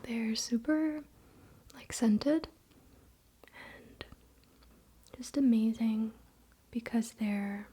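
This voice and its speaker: Slow whispering young woman, ASMR. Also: fairly high pitch. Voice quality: breathy whisper. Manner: casual, gentle, unhurried. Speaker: ASMR host. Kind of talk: whispered ASMR spiritual role-play. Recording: faint background noise, soft clicks.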